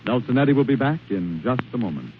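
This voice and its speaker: A man's voice: medium pitch, big pitch swings, quick pace. A man doing scripted network announcing in 1940s radio style. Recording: tinny 1940s radio broadcast with faint music.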